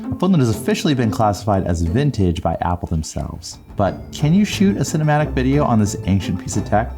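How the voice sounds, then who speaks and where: A man's voice: lowish voice, very animated, fairly quick, music in the background. A man speaking as a video creator, presenting in a vlog style.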